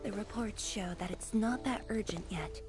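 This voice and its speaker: A woman's voice: medium pitch, moderate pace, close to an everyday voice. An actress playing an earnest, dutiful young female companion in a sci-fi video game. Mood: earnest, serious. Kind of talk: scripted video game dialogue. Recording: background music.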